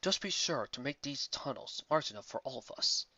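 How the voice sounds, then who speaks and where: A man voicing a calm antelope chief, a low-key tactician who speaks deliberately. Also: no echo, dull audio. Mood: approving.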